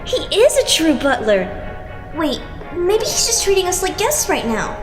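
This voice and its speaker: A woman's voice: very high voice, huge pitch swings, normal speed, over music, full character voice. A woman voicing an eager, chatty teenage boy idol in an anime-style fan dub, earnest and easily starstruck. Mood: starstruck, excited.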